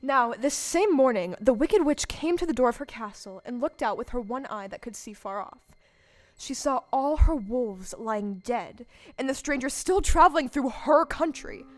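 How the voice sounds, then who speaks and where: A woman's voice: high-pitched, expressive, normal speed. A woman playing the storyteller of a fairy-tale audio play, narrating in a theatrical, scripted way. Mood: storytelling. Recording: live stage show with loud music underneath.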